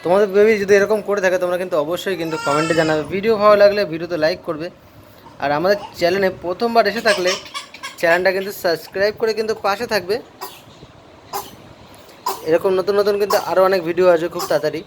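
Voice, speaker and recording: A man's voice: sits high, fast, noisy background. A man talking casually to viewers in a home vlog, often repeating short phrases. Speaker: family vlogger. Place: at home with a small child.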